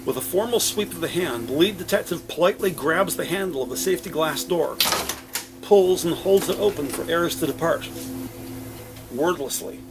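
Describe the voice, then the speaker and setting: A man narrating a crime audio drama with scripted, descriptive storytelling in a deliberate, literary manner. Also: fairly high pitch, background noise.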